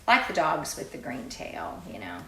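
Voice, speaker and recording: A middle-aged woman reading a picture book aloud to children, expressive and exclaiming, with chatty conversational asides. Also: deep voice, moderate pace, a little hiss or hum. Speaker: children's storyteller and drama teacher. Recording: roomy, echoey sound.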